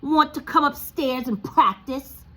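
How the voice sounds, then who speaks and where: A woman's voice: high voice, unhurried, bright, forceful tone, voice pushed up. A woman voicing a mean, taunting boy bully while reading a storybook aloud.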